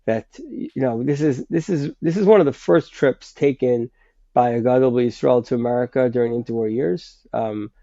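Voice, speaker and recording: A man with a sinus infection explaining history in a conversational way, pausing often and restarting his sentences. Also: medium pitch, dark tone, dry recording. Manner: hesitant, many restarts and fillers. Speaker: guest writer. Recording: dull, lo-fi sound.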